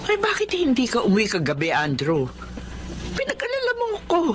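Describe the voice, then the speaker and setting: A fretful, animated Filipino mother. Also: on the high side, brisk, loud music behind the voice. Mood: upset, scolding. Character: worried, suspicious, scolding older Filipino mother. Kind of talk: radio drama acting. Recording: clearly noisy drama recording.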